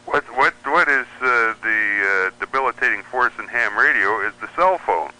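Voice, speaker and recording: A man's voice: mid-range voice, lively intonation, normal speed, sharp tone, poor audio. A middle-aged or older man reminiscing in casual, anecdotal conversation as a guest on a radio show.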